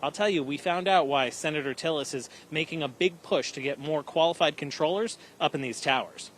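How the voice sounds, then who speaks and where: A man reporting live for TV news with an emphatic, slightly halting delivery. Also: fairly high pitch, noisy background. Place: live at an airport.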